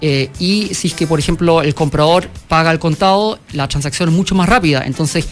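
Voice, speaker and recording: A man from Germany with a German accent, a company founder answering questions in a radio interview, halting and full of fillers and restarts. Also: a little high, faint music behind. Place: radio studio.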